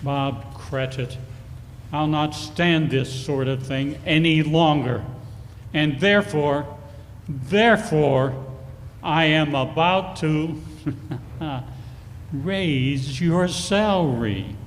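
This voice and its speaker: Guy doing old miser boss in an old time radio play, super slow n theatrical, echoey hall, music under it. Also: a little high, a little hiss or hum. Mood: mock stern. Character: old Scrooge, reformed miser, gleefully generous employer. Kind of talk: 1940s radio play acting.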